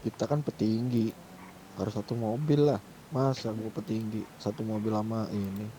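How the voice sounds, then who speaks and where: A man's voice: on the low side, steady tone, moderate pace, warm tone, a little hiss or hum, low-quality recording. A man with an Indonesian accent in casual chat with other players during a game. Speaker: fellow player in online roleplay game.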